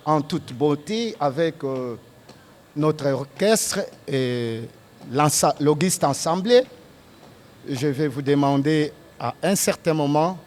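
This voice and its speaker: A man with a Congolese accent leading a solemn prayer at a memorial gathering, speaking in measured phrases; his first language is Tshiluba. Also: on the high side, darkish tone, a little hiss or hum. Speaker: master of ceremonies leading prayer.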